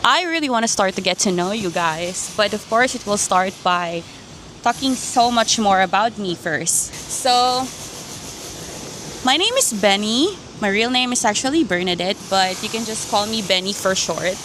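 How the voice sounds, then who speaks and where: A woman's voice: medium pitch, animated, moderate pace, noisy background. A woman from the Philippines with a Filipino accent, chatting in an informal, rambling, slangy way as a vlogger. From Philippines (Manila). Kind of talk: casual chatty personal vlog. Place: quiet empty cafe. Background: music.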